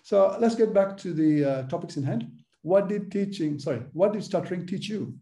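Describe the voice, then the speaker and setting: Middle-aged guy hosting a podcast, gravelly and really dark voice, earnest, stutters on purpose sometimes. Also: high-pitched, lively intonation, moderate pace. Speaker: podcast host. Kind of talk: conversational interview.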